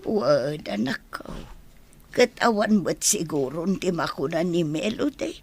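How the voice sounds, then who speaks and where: A woman playing a tender, concerned elderly mother in an Ilocano radio drama, with a raspy, creaky voice and an Ilocano accent. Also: fairly low pitch, expressive, a little slow, full character voice. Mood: troubled, gentle.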